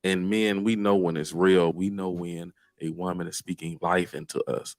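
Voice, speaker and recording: Deep-voiced man, dry close sound. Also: fairly even intonation, normal speed, creaky, no echo. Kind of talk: casual commentary.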